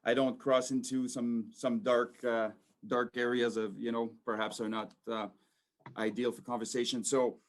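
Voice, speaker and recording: A man's voice: mid-range voice, little pitch movement, normal speed. A man in his forties speaking over a video call in an everyday mid-range voice. He talks informally to a school audience and rambles, with many fillers and restarts. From Quebec, Canada. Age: 40s. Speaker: police sergeant major speaking to students.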